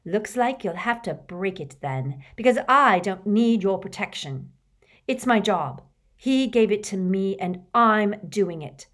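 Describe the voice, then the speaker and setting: A woman's voice: mid-range voice, expressive, a little slow. A woman voicing a defiant, resentful teenage boy wizard who talks back with a sneer in an audiobook.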